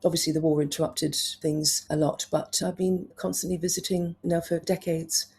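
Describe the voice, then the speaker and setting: A woman's voice: sits low, level tone, moderate pace, a little mellow, husky. A woman in her fifties, a travel writer telling stories with enthusiasm in a conversational interview. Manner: enthusiastic, anecdotal storytelling.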